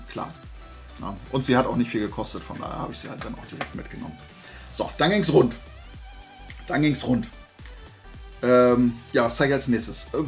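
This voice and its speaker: A middle-aged man chatting casually to camera as a collector presenting his finds, rambling and often interrupting himself. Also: medium pitch. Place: indoor room lined with shelves of collectibles.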